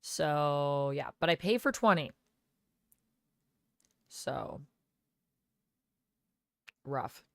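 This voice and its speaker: Woman, live streamer, gravelly smoky voice, sick with a cold, chatty, lowish pitch. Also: expressive, extremely slow, pauses often, dry recording, studio quality. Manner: chatty, rambling, thanks viewers by name. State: under the weather with a cold. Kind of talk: casual live-stream chat, reading viewer comments. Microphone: gaming headset.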